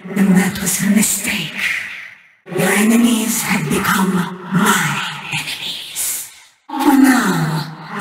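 A vengeful female spirit from a video game, speaks in slow, commanding declarations. She is proud and merciless, with theatrical menace. Her voice is heavily echoed and sits back from the mic under loud music. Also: medium pitch, far from the mic. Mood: menacing resolve. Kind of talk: video game character voice lines.

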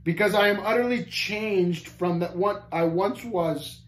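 A man's voice: sits high, a little slow, fairly bright tone, voice pushed up. A man voicing a despairing, guilt-tormented prisoner in an audiobook story, moaning in anguish. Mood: bitter despair. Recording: roomy echo, faint background noise.

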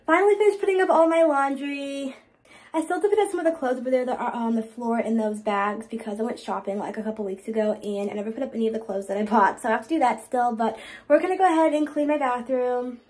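A young woman chats casually as she films herself at home, upbeat and full of fillers. Her voice sits high and she talks quickly, recorded on a nearby phone in her bedroom. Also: steady tone, darkish tone. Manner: chatty, filler-heavy, upbeat. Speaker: vlogger. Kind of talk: casual cleaning vlog chat.

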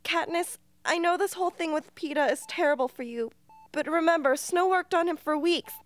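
An earnest, protective young girl, acted by a woman in a radio play. Her voice is very high, and she speaks up with pleading, challenging insistence. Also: a little fast. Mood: consoling. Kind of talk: amateur radio play acting.

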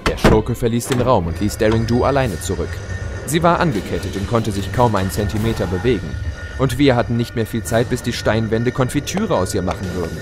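A man's voice: lowish voice, a little fast, over music, lightly acted. A man playing an eager adventurous pony hero, expressively narrating his tale in an audio drama. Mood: storytelling. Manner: expressive, storytelling.

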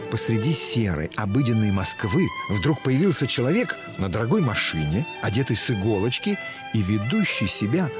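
A man narrating a documentary from a script, with a dramatic, storytelling delivery. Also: medium pitch. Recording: voice-over with loud music bed. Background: background music.

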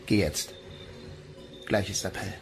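A man's voice: low voice, slow, creaky, full character voice. An actor playing a weary, cautious man on a space station in a science fiction radio drama. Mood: grave, urgent. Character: weary, cautious male station confidant, Kirin. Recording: radio play with music bed.

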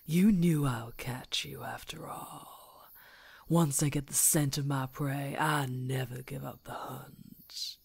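A woman voices a female werewolf on the hunt, very low and husky. The delivery is extremely slow and breathy, mostly whispered in ASMR roleplay style. The beast sounds menacing and gloating, savoring control and teasing as it threatens. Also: fairly even intonation, darkish tone, no room sound, exaggerated voice.

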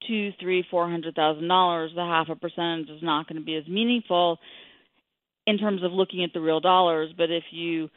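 A middle-aged woman explaining things as an expert guest in a radio interview, with measured, hesitant phrasing. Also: on the low side, steady tone, no room sound. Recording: thin phone-line sound.

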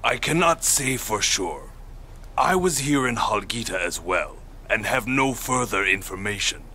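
A man's voice: fairly low pitch, expressive, unhurried, pushed and bright, a little hiss or hum, full character voice. An actor playing an older royal retainer in a game, speaking formally and deferentially as he delivers messages. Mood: grave, formal. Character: old royal retainer, formal, urgent, respectful messenger.

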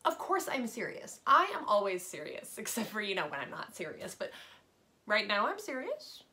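A woman's voice: mid-range voice, wildly swooping pitch, normal speed, pushed and bright, slight background noise. A woman acting a breezy, chatty, oblivious schoolgirl best friend in a staged story reading. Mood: puzzled, joking. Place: indoor room with sheet backdrop. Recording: echoey home room.

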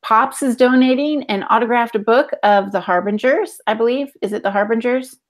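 Female podcast co-host, middle-aged, fairly high voice, informal live chat from a home room. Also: moderate pace. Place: indoor room at home, on a video call. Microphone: earphone headset on a video call.

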